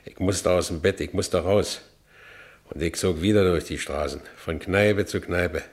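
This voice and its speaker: A man's voice: deep voice, a little slow. A man playing a battered, streetwise ex-convict telling his own story colloquially in a radio drama. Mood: grim, weary. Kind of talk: first-person radio drama narration.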